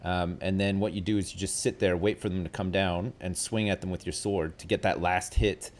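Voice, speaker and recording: Forty-ish guy streaming, kinda low voice, rambly and self-deprecating, lots of fillers. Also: steady tone, a little fast. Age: 40s. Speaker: streamer host. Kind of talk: casual gaming stream chat.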